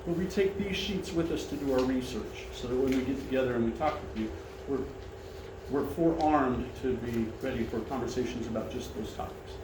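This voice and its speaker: A man's voice: medium pitch, a little fast. Male seminar presenter, big carrying voice, chatty and welcoming; recorded from a distance in a reverberant dining room with background noise. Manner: friendly, conversational, invites questions. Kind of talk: presentation to a seated audience. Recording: echoey room, mic far off, noisy.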